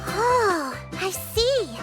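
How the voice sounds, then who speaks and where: Very high, girlish young female chief. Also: big pitch swings, extremely slow, loud music behind the voice, exaggerated voice. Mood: friendly, gracious. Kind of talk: English video game voice acting.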